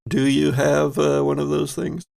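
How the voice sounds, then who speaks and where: A man's voice: fairly high pitch, flat delivery, moderate pace, creaky, a little mellow. A man narrating a tabletop game as game master in a casual, improvised and playfully teasing way.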